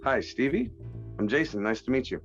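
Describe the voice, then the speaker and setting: A man's voice: mid-range voice, big pitch swings, a little fast, soft background music, lightly acted. An actor playing a nervous, chatty man at speed dating in an audio drama, on a muffled call. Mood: awkward, friendly.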